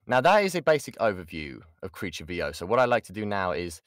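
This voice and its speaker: A man's voice: on the low side, very animated, moderate pace, no room sound, studio quality. A British man, a voice actor, giving an informal online workshop in a chatty way, breaking off and repeating key points for emphasis. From United Kingdom. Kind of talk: informal online lesson with audience questions. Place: indoors, online voice chat.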